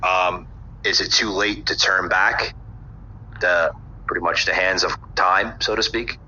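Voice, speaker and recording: A man hosting a political podcast, talking in a halting way with many pauses. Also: deep voice, steady tone, sharp tone. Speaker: podcast host. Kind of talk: casual political podcast talk. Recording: dull lo-fi audio.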